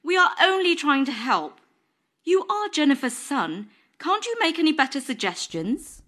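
A man's voice: very high-pitched, very animated, moderate pace, sharp tone, pitched above the performer's own voice, exaggerated voice. A man voicing a bossy, indignant woman in a storybook reading, fussy and scolding. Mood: defensive.

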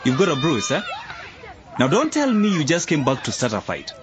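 Over clear outdoor noise and a crowd, an authoritative football coach lectures forcefully. His delivery is stern and animated, in radio drama style. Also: a little high, normal speed, muffled recording. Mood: demanding. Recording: noisy outdoor crowd ambience.

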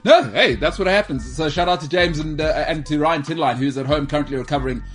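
Jokey talk-show host. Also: male voice, a little high, fast, soft background music. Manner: animated, jokey, rambling with restarts. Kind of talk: casual sports talk show banter. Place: radio studio. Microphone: studio microphone.

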